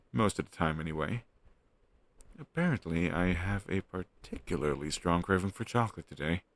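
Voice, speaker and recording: Deep, gravelly, playful young man, acted. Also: exaggerated voice. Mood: enthusiastic, relaxed. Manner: casual, expressive, bantering. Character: playful, teasing young man secretly fond of best friend. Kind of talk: roleplay audio drama, intimate casual chat with listener.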